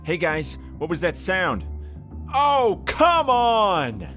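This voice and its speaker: An actor playing a sarcastic, snide costumed party mascot in a comedic audio drama. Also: male voice, high-pitched, wildly swooping pitch, drawn-out words, fairly bright tone, faint music behind, heavily acted. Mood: alarmed. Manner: mocking, snide. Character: sarcastic costumed mascot of a giant fluffy killer.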